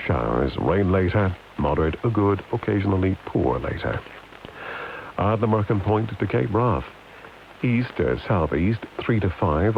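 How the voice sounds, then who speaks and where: A man reads scripted radio announcements in a formal, deliberate way, heard over an AM long-wave radio. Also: deep voice, vocal fry. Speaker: radio announcer and shipping forecast reader. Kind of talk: measured scripted radio forecast and sign-off. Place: broadcast studio, heard off a radio. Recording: hissy, dull long-wave radio reception.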